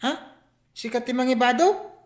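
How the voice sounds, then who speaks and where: An actress with an Ilocano Filipino accent playing an admiring, curious young child in a radio drama. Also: female voice, on the high side, moderate pace, echoey room, close to an everyday voice.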